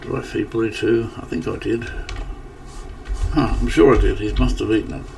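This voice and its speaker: A man with a fairly low, slightly scratchy morning voice talks his way casually through a video game. He rambles and thinks aloud as he goes. The microphone sits a little away from him, and there is faint noise in the background. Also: moderate pace, gravelly, darkish tone, far from the mic. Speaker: gaming channel host. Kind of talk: casual gameplay commentary.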